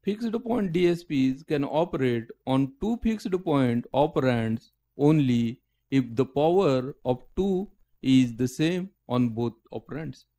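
A man narrating a scripted technical lecture as the lecturer, with halting, deliberate phrasing. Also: mid-range voice, lively intonation, warm tone.

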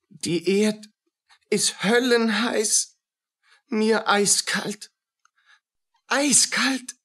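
Radio play casting: a feverish, jealous poor soldier, husky-voiced, unhurried, brooding in broken fragments. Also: male voice, high-pitched, a bit bright, exaggerated voice. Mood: dazed, brooding. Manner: halting, brooding, agitated fragments. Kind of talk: German radio play acting.

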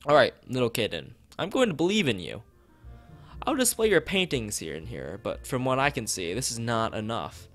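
A man voicing a discerning, blunt woman who owns an art gallery, giving a measured, frank appraisal in a visual novel. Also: mid-range voice, very animated, a bit bright. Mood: firm, frank. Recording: background music behind voice.

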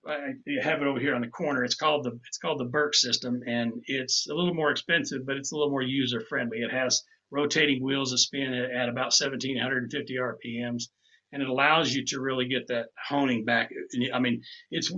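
An older man talks casually through a demonstration over a video call, loose and unhurried, often repeating himself. His voice is bright. The sound comes through a muffled, lo-fi webcam mic in a home office. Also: mid-range voice. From Tennessee. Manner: easygoing, repeats words as he goes. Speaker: woodcarving instructor. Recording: dull lo-fi video call audio.

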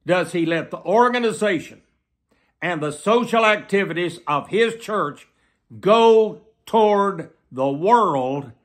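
Old guy preacher talking to camera, slightly high voice, really slow and emphatic delivery. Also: a bit bright. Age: 70s. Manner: emphatic, deliberate, repeats phrases. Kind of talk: sermon to camera. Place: small plain room indoors.

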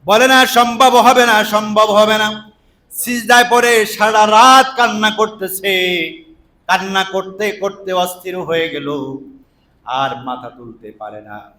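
A man preaching a story sermon, swinging between dramatic drawn-out calls and pleading phrases. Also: high voice, bright, forceful tone. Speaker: Islamic preacher. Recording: echoing PA-style sound.

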